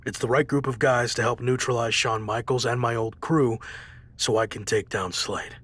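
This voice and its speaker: A man's voice: medium pitch, steady tone, normal speed, fairly bright tone, slightly rough. An actor playing a determined, confident male pro wrestler and faction leader in a video game cutscene. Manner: confident, defiant.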